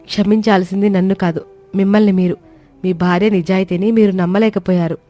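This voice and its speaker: A woman's voice: medium pitch, steady tone, mellow, natural voice. Woman as a dignified, patient young daughter-in-law. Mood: hurt, resolute. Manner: polite, measured, firm. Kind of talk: dramatized animated moral story.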